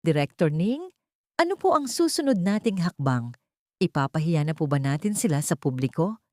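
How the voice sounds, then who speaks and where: Brief: woman narrator as devoted female secretary, respectful and concerned, animated pitch, dry close sound. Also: normal speed, no room sound. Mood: eager. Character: loyal, worried female secretary, deferential to her boss.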